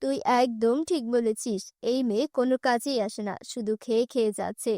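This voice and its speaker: A woman's voice: a little high, fairly quick, dark tone, dry recording, clean studio sound, pitched below the performer's own voice, close to an everyday voice. Woman voicing a harsh, scolding cartoon stepmother. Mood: cold, threatening. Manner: scolding, contemptuous. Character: harsh, cruel middle-aged stepmother, scolding and threatening.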